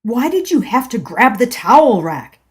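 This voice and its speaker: A woman's voice: medium pitch, expressive, normal speed, gravelly, a little mellow. A woman voicing an irritable husband who scolds and accuses in an audiobook reading. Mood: scolding. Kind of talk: audiobook narration.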